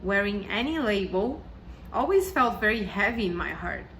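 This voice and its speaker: A woman's voice: medium pitch, lively intonation, normal speed, fairly bright tone, a little hiss or hum. A woman raised in Argentina and Brazil, with a South American accent and Spanish as her first language, giving a prepared, reflective talk as a guest speaker. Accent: South American Spanish and Portuguese. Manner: earnest, carefully read, reflective.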